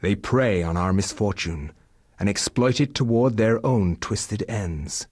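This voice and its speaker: A man playing a grave, brooding narrator of a horror game, speaking deliberately in a husky voice. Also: fairly low pitch, darkish tone, no echo, clean studio sound. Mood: ominous. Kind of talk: scripted dramatic game narration.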